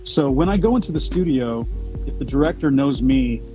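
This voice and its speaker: A man with a deep baritone voice, a voice actor answering interview questions in a relaxed, rambling way with frequent restarts. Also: normal speed, muffled recording, soft background music. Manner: rambling, many restarts and repeated words. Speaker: voice actor guest.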